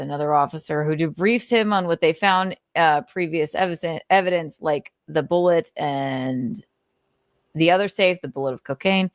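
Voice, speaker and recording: Woman streamer reading notes out loud, kinda low voice, dry sound, sweary sarcastic asides. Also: dry recording. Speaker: livestream host. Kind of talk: dramatic reading with casual, sarcastic commentary.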